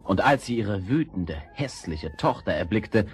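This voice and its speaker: A man's voice: fairly low pitch, lively intonation, a little slow, noisy background. A man narrating fairy tales for children as a scripted storyteller.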